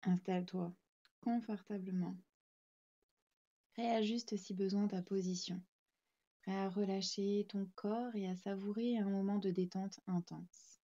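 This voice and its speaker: A woman guiding a yoga nidra relaxation, speaking in calm, soothing, gently instructing short phrases. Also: mid-range voice, unhurried, lo-fi sound. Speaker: yoga nidra meditation guide. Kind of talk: guided relaxation meditation narration.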